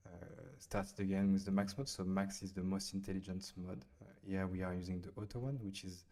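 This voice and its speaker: Low flat-voiced Frenchman with fillers. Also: brisk. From France. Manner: hesitant, many fillers while typing. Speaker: software demo host. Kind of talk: casual screen-recorded tutorial.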